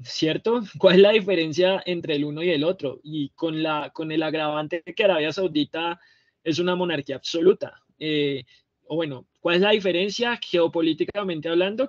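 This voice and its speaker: A man's voice: on the high side, no echo. A man in his thirties giving an academic talk on a video call, explaining with rhetorical questions. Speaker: panel speaker. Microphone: webcam on a video call. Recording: dry, dull lo-fi call audio.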